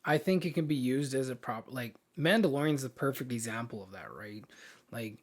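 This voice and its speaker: A man's voice: medium pitch, lively intonation, moderate pace. Slangy man chatting casually. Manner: informal, slangy, mild swearing. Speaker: podcast co-host. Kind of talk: casual chat about games and films.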